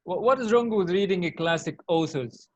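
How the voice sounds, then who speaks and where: Higher-voiced male interviewer. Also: moderate pace, lo-fi sound. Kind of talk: polite interview questioning.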